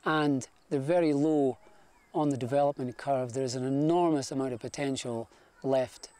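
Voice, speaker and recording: A man's voice: medium pitch, steady tone, moderate pace, mellow. Man explaining plainly in an interview. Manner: plain, explanatory, a few restarts. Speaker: electrical engineer.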